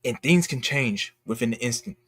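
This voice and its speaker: Guy ranting casually on a stream, mid pitch, super dry sound, blunt, keeps restarting and repeating stuff to make his point. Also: fairly bright tone, husky, no room sound. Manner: halting, repeats phrases for emphasis; blunt, emphatic. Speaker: streamer and commentator. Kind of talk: casual opinionated livestream commentary.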